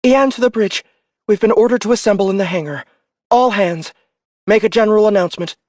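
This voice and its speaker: A man voicing a loyal, dutiful young woman lieutenant who speaks in a high, pressed voice. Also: brisk, a little mellow, no room sound, studio quality, natural voice. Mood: anxious, urgent.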